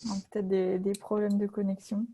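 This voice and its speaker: A woman with a mellow, relaxed voice at an average pitch hosts an online meetup. She speaks casually, as on a group video call. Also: fairly quick.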